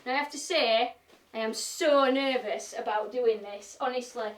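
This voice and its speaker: A woman with a broad Yorkshire accent and a fairly high voice, chatting through a live demo in a cheerful, self-mocking way. She is recorded in an echoey workshop from some way off the mic. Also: normal speed, recorded from a distance. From Yorkshire, England. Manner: chatty, self-deprecating, talks through what she is doing. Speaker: woodturner hosting a live demo. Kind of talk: chatty live-stream demonstration. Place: home workshop.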